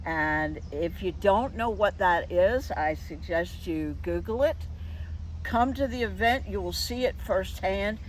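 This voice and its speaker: An animated woman talks through plans for a community event as one of its organizers. Her delivery is unscripted and conversational. She is recorded outdoors in a park, with clearly audible vehicle noise around her. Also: mid-range voice, a little slow, low-quality recording. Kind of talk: unscripted interview talk promoting a community event.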